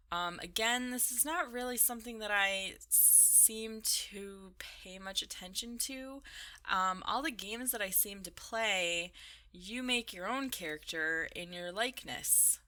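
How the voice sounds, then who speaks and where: A woman's voice: mid-range voice, bright, forceful tone. A woman gaming vlogger answering a list of questions in an informal, rambling, giggly way. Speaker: video game vlogger. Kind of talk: casual chat, answering a list of questions over gameplay.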